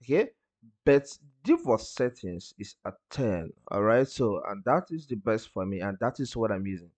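A man presenting a step-by-step software tutorial, speaking in short instructional phrases with emphatic openings. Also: on the low side, sing-song, moderate pace.

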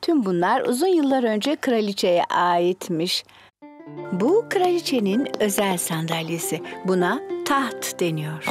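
A woman voicing a kindly, patient mummy pig in a children's cartoon, gentle and motherly as she explains things. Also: medium pitch, big pitch swings, a little slow. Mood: patient, explaining. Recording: light music behind.

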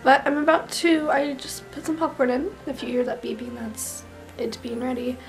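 A young woman in her twenties chatting casually in a beauty vlog, a little raspy after being sick, clearing her throat now and then and dropping to whispers while she does her makeup. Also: high voice, normal speed, faint music behind, rough recording. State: recovering from illness, clearing throat. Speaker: beauty vlogger. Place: small bedroom, indoors. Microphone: camera mic, close framing.

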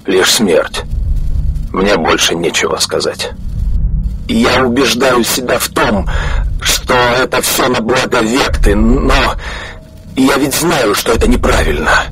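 An actor playing a weary, guilt-ridden male scientist confessing haltingly in a video game audio log. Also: mid-range voice, fairly bright tone, a little hiss or hum. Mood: weary, remorseful. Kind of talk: dramatic recorded monologue in a video game.

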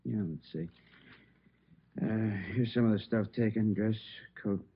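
An actor playing a helpful, plainspoken burglary detective who talks tersely and informally in a vintage radio drama. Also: male voice, lowish voice, measured pace, slightly rough. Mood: businesslike. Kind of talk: 1950s radio drama acting. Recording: tinny, band-limited vintage radio recording.

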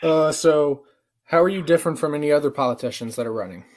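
A man's voice: a little high, quick pace. A young American man giving casual, slangy commentary in an amused manner. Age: young adult. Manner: amused, slangy, chuckling through remarks. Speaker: prank-calling vlogger. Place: small living room, indoors. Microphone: webcam at arm's length.

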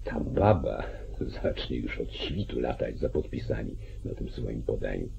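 Very deep, creaky, domineering judge. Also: male voice, lively intonation, dark tone, exaggerated voice. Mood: scheming, commanding. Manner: commanding, deliberate, scheming. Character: calculating, domineering small-town judge, older male politician. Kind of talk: mid-century Polish radio play acting. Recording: muffled, narrow-band 1940s radio recording.

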